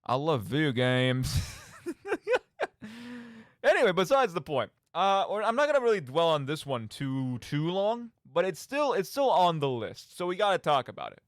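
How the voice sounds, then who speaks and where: Animated man hosting a casual radio show. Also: a little high, moderate pace, no echo, studio quality. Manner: animated, theatrical mock outbursts, conversational asides. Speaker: solo radio show host. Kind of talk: casual rambling monologue about video games.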